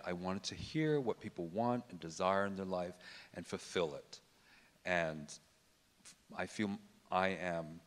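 Deep-voiced middle-aged guy on a panel, lots of ums and restarts, handheld mic, big echoey hall. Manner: hesitant, many fillers and restarts. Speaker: fashion designer on a panel. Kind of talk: reflective panel talk. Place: stage in a large auditorium. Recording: long reverb of a large hall.

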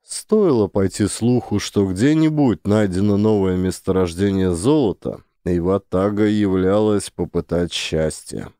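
A man narrating a classic story, measured and expressive, close to the microphone. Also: low voice, no room sound. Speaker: audiobook narrator.

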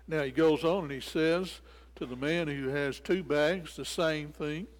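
Older male preacher, slightly high voice, explanatory sermon delivery, long church reverb. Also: unhurried. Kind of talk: sermon, expository Bible teaching. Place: indoors in a church sanctuary at a lectern.

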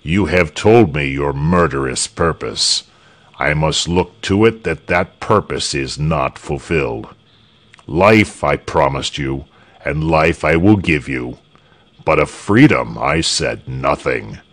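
A man's voice: rumbling low, animated, slow pace, a bit bright, voice pushed down, heavily acted. A man voicing a cold, tyrannical governor in a storybook reading, speaking in a formal, commanding way. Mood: cold, menacing. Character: cold, tyrannical male governor, imperious and menacing.

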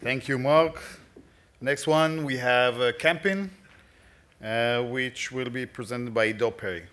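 A middle-aged man hosting an event, announcing the next speakers in a halting way with pauses. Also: medium pitch, fairly even intonation, a bit bright, slight background noise. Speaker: event host. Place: large bright conference room, at a podium. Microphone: podium microphone.

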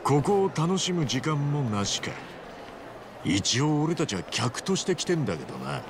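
A brash but good-hearted video game hero. He talks bluntly and informally, with very lively intonation and faint ambience in the background. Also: on the high side, moderate pace, background noise. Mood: grumbling. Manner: blunt, informal, rough-edged speech. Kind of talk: Japanese video game dub acting.

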